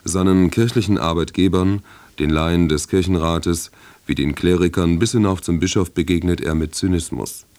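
Deep voiced guy doing formal radio announcements, measured scripted read. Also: steady tone, darkish tone. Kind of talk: scripted broadcast announcement, credits and preview.

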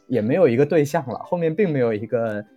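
A man with a medium-pitched voice that swings expressively chats as a guest. He speaks in a halting, self-deprecating, casual way, with light music in the background. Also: expressive, lo-fi sound. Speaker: interview guest. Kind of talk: casual anecdote and chat.

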